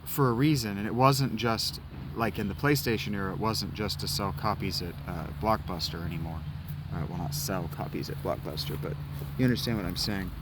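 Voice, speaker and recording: A man's voice: lowish voice, fairly quick, noisy background. A man from Ohio giving casual, rambling commentary while playing a video game, reminiscing and swearing casually. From Ohio, USA. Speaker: let's play gamer.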